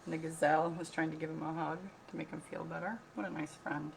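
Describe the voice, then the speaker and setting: A woman reading a picture book aloud like a teacher, pausing to explain, ask questions and repeat key points. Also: low voice, level tone, vocal fry, slight background noise, low-quality recording. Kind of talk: read-aloud storytelling with explanatory asides.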